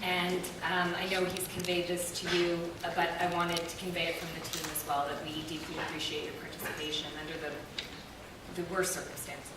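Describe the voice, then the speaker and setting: A woman on a review team gives measured, careful answers to public questions, pausing often. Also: fairly low pitch, completely flat delivery, distant mic. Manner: measured and careful, with frequent pauses. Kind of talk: formal answers at a public meeting. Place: large echoey meeting room. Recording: echoey hall audio from afar with noise.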